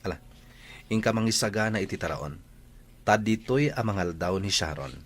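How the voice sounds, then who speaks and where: A man's voice: on the low side, normal speed, close to an everyday voice. A man acting a bossy, arrogant young rancher in a radio-style drama, with an Ilocano accent. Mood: bossy, scornful.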